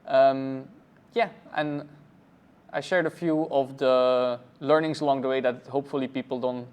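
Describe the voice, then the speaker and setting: A man from Belgium, a cloud architect, answering interview questions thoughtfully with many pauses and fillers. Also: medium pitch, fairly even intonation, a little mellow, clean tone. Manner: thoughtful, many ums and uhs. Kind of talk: conference interview.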